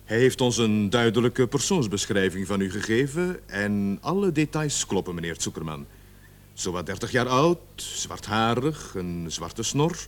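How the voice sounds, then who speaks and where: An actor playing a measured, insinuating police sergeant in a radio drama, formal and deliberate as he questions a suspect. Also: lowish voice, expressive. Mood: probing, insinuating. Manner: formal, deliberate, falsely soothing. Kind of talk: Dutch-language radio drama acting.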